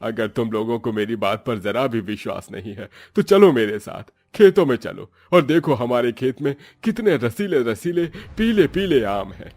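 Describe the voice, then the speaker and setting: A man playing a humble, devout middle-aged farmer and father in an animated moral story, speaking earnestly with exclamations. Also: mid-range voice, normal speed, hardly any pauses, no echo. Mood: earnest, insistent. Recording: faint music behind.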